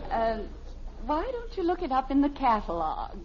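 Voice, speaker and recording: A middle-aged American wife and mother in old radio comedy. Her high voice swoops with incredulity and dry, pointed sarcasm. The muffled fifties recording has clear noise over it. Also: very animated, normal speed, a loud crowd. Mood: dry, needling. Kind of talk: 1950s radio sitcom acting. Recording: noisy, muffled early 1950s radio recording.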